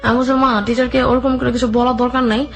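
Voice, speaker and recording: A woman's voice: on the high side, level tone, a little fast. A woman guest telling a personal story in run-on narration, quoting both sides of each conversation. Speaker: interview guest. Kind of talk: personal storytelling with re-enacted dialogue. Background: background music.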